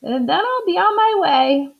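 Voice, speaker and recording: A woman voicing a cheerful, literal-minded housekeeper in a storybook reading, bright and eager. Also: extremely high pitch, animated, normal speed, gravelly, highs cut off, pitched above the performer's own voice, heavily acted.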